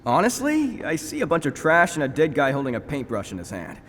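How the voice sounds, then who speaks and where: A man's voice: fairly high pitch, huge pitch swings, brisk, fairly bright tone. Man acting jaded detective in a reverberant space. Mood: dismissive. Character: jaded, matter-of-fact male police detective, plain-spoken. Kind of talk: audio drama acting. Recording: long hall reverb, background ambient noise.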